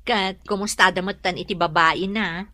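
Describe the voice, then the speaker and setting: An actress with an Ilocano accent playing a frank, supportive best friend in a radio drama, giving emphatic advice. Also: female voice, lowish voice, steady tone, normal speed, bright, forceful tone, no echo. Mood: glad, curious. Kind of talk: Filipino radio drama dialogue acting.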